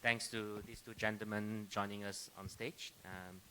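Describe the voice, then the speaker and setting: A middle-aged man from Malaysia introduces himself as a panelist. His voice sits fairly low but is very bright and sharp. He speaks haltingly, and there is light noise behind him. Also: little pitch movement. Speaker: panelist, academic and AI company founder. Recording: live stage microphone with clear background noise.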